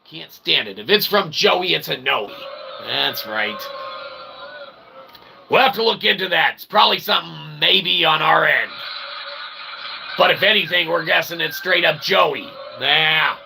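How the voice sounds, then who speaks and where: Male live stream host, fairly high gravelly voice, wordy mock-formal chat, noticeable background noise. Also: measured pace. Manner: wordy, pseudo-formal phrasing with frequent "okay?" tags. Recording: clear background noise, room ambience.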